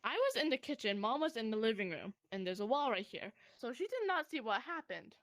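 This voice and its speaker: A young woman with a fairly high voice tells a story casually to a friend. Her intonation swings widely as she rambles and curses freely. The sound is dry, with very little room echo. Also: fairly quick. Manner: animated, profane, rambling.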